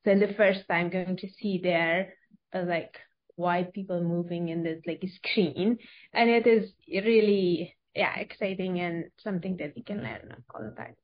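A woman's voice: on the low side, steady tone, measured pace. Interview guest: young woman from Afghanistan, hesitant, many restarts, dull sound. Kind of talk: interview answers.

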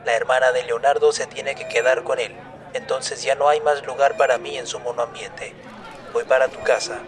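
A man's voice: medium pitch, little pitch movement, normal speed, crowd noise, lightly acted. An actor playing a man leaving his lover an urgent, matter-of-fact voice message in an audio drama. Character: male lover sending an urgent spoken message.

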